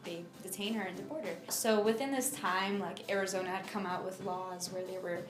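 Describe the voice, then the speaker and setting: A young adult woman from Miami telling her personal story in an interview, speaking informally and haltingly with lots of filler phrases. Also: medium pitch, steady tone, roomy sound, over music. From United States (Miami, Florida). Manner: informal, halting, frequent "like" and "you know".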